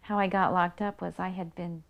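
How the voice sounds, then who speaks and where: A woman's voice: lowish voice, little pitch movement, moderate pace, vocal fry, faint music behind. A woman giving a matter-of-fact personal account in an interview.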